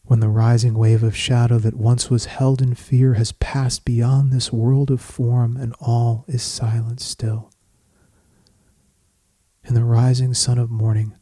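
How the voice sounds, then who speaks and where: Slow, mellow man guiding meditation. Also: medium pitch, flat delivery, no room sound. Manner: measured, soothing, solemn, with long pauses. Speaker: meditation guide. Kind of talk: guided meditation, scripted poetic narration.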